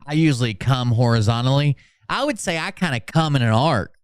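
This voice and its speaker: A man's voice: medium pitch, lively intonation, a little fast, clean tone, no echo, studio quality. A man hosting a commentary stream in a sarcastic, mocking, profane manner. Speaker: host of a commentary livestream. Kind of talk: sarcastic reaction commentary with mock-dramatic readings.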